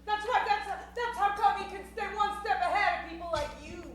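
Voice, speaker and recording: A woman's voice: very high voice, a little fast, a bit bright, far from the mic. A woman playing an eager, yappy outlaw sidekick in an improvised old-time radio western. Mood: excited. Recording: very echoey stage, music behind.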